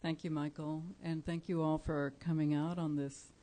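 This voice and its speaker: A woman from Cuba, a Spanish speaker, giving a live poetry reading, measured and deliberate with soft pauses. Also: low voice, level tone. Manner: measured, deliberate, pausing often with soft fillers. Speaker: featured poet. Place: indoor live reading event.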